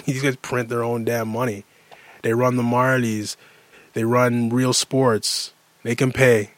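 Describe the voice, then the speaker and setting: Canadian male, late 30s, sports talk host. Emphatic, fed-up, conversational, repeats himself for punch. Average pitch, dry sound. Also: flat delivery, slow pace, dry recording. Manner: exasperated, emphatic, repeats phrases for effect. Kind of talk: casual sports rant monologue.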